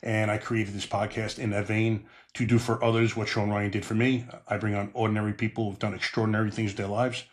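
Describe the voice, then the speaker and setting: A man's voice: lowish voice, flat delivery, a little fast, hardly any pauses. A middle-aged man with a Long Island New York accent, hosting a podcast in plain, earnest talk with frequent "uh" fillers. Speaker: podcast host. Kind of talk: podcast monologue and interview.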